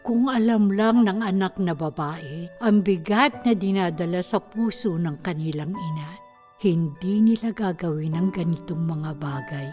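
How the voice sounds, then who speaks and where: A woman's voice: lowish voice, unhurried, darkish tone, natural voice. A woman narrating a Filipino radio drama as a solemn, sorrowful storyteller. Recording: background music under the voice.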